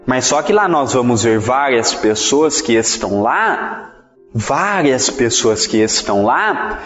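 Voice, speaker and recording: Man, Brazilian accent, religious lecture, echoey hall, dull lo-fi sound, emphatic teaching delivery. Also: on the high side. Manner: didactic, emphatic, with rhetorical questions and repetition. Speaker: Spiritist lecturer.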